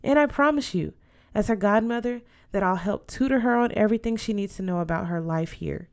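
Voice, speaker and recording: A woman's voice: mid-range voice, brisk, a little mellow, close to an everyday voice. A woman voicing an older godmother aunt in an audiobook, firm, affectionate and dutiful, counselling emphatically. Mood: solemn, emphatic. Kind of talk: audiobook character voice.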